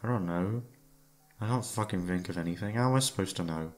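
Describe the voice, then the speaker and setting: A man with a gravelly voice rambling casually, tired and downcast, hesitant and self-deprecating, swearing often. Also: low voice, fairly quick. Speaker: audio creator talking to listeners. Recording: music playing behind the voice. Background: soft background music.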